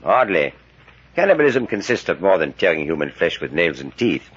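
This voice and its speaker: Very deep, husky, commanding surgeon. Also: male voice, very animated, fairly quick, fairly bright tone, full character voice. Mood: grave, steady. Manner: terse orders, muttered hesitations. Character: gruff, commanding professor-surgeon, calm under pressure. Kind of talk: 1940s radio drama acting. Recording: muffled vintage 1940s radio recording.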